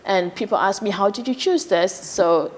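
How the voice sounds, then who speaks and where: A woman surgeon from Zimbabwe in her thirties, giving a TV interview in a soft, hesitant way with many fillers. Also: mid-range voice, moderate pace. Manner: soft-spoken, many fillers, laughs easily. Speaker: gynecologic surgeon, guest.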